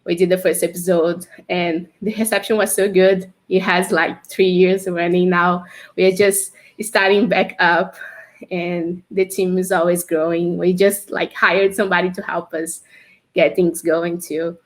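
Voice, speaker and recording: A woman's voice: fairly low pitch, flat delivery, unhurried. Brazilian woman chatting on a video call, laughs a lot, lots of likes and ums. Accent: Brazilian Portuguese. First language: Portuguese. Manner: chatty, laughs often, frequent fillers. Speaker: guest, developer advocate. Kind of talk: casual livestream interview.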